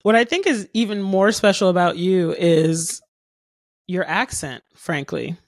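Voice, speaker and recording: A woman's voice: lowish voice, expressive, unhurried, dry recording, studio quality. A woman from Haiti who grew up in the US, hosting a podcast, talking at length with many fillers. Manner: talkative, warm rambling with many fillers. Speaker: podcast host. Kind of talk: casual interview.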